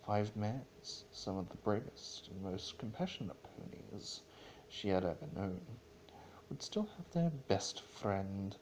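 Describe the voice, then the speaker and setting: A man narrating a story as a dramatic reading, in a solemn and somewhat halting way. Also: fairly low pitch, sing-song, darkish tone, a little hiss or hum, low-quality recording.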